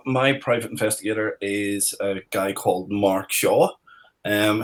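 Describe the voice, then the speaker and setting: A man's voice: on the low side, low-quality recording. A man with a Northern Irish accent, speaking as a crime writer on a panel, thoughtful and hesitant with frequent restarts. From Northern Ireland. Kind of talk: panel discussion.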